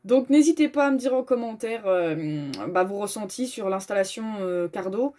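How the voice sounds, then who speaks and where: A woman's voice: medium pitch, expressive, moderate pace, mellow. A woman hosting a casual, informal step-by-step tutorial, explaining as she goes and announcing each step. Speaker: host of a motorcycle gear tutorial. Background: music.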